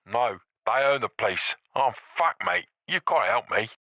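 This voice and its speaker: A man voicing a frightened young man on the phone, talking fast and swearing with nerves. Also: mid-range voice, lively intonation, dry recording, narrow, tinny audio, lightly acted. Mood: panicked.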